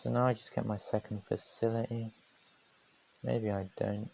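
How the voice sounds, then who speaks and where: Man from New Zealand, hoarse, calm game commentary, mellow dark tone, muffled stream audio. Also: on the low side, moderate pace, a little hiss or hum. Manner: calm, matter-of-fact strategy talk. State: voice going hoarse. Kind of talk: live gameplay commentary.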